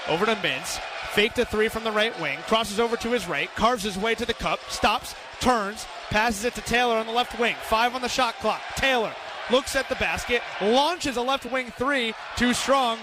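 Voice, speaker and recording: American guy calling hoops live on radio, high pitched, loud crowd and noise behind him. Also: moderate pace. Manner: projecting over crowd, announcing. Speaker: radio play-by-play basketball commentator. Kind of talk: live play-by-play commentary. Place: courtside in an indoor basketball arena. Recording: loud arena crowd noise, bouncing balls. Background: crowd, ball bounces.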